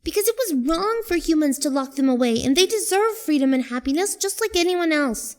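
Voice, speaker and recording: A woman's voice: sits high, lively intonation, brisk. A woman voicing a kind human child who narrates politely and sincerely in a cartoon fandub. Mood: earnest, friendly. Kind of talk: first-person fandub narration.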